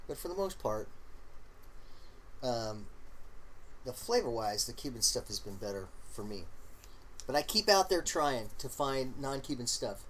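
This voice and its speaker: A man hosting a casual live stream, rambling along in a halting way with lots of fillers and the odd throat clear. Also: mid-range voice, slight background noise, poor audio. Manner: relaxed, opinionated talk about smoking.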